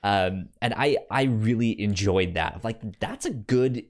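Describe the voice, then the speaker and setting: A man co-hosting a podcast, chatting casually about books in a halting way, often stammering and repeating words. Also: lowish voice. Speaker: podcast co-host. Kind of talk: casual book discussion.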